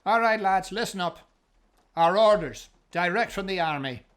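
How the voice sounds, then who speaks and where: An actor playing a brash, authoritative 1930s American police officer in a radio drama, with a brisk manner. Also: male voice, sits high, expressive, a bit bright, clear, resonant tone. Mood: commanding, gruff.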